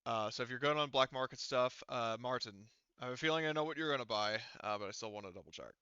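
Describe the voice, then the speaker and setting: A man's voice: medium pitch, fast talker, bright, forceful tone, clear, resonant tone, no echo, lo-fi sound. A man acting as game master in casual online play, explaining things hesitantly and thinking aloud as he goes.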